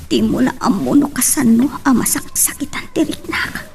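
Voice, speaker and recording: A woman's voice: high voice, moderate pace, vocal fry, darkish tone, slight background noise. A woman with an Ilocano Filipino accent acting a tearful, hurt young wife in an audio drama, speaking plaintively. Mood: hurt, pleading.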